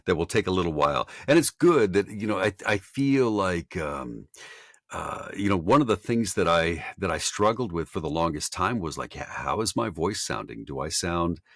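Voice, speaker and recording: A man hosting a feedback chat, speaking haltingly with frequent agreement noises. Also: deep voice, lively intonation, a bit bright, no echo, clean studio sound. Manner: halting, backchannels often. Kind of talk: casual chat.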